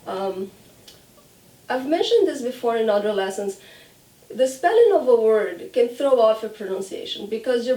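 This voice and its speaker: A woman's voice: mid-range voice, mellow. A woman from Brazil with a Brazilian accent, a native Portuguese speaker, giving an instructional pronunciation lesson and enunciating demonstratively. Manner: clear demonstrative enunciation, repeats example words. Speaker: language teacher. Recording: roomy echo, distant microphone.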